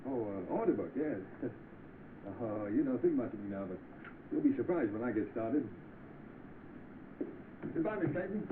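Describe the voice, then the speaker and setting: An actor playing an eager, hard-up young job seeker in 1930s film style. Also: male voice, mid-range voice, sing-song, moderate pace, long pauses, close to an everyday voice. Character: eager, hard-up young man seeking work. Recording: noisy narrow-band old film soundtrack.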